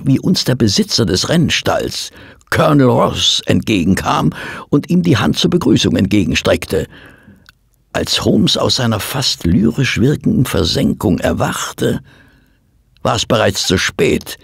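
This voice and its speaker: A detective's gentlemanly companion, telling the case in measured radio play narration. The voice is low and husky, with lively intonation. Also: male voice, no echo, studio quality, exaggerated voice.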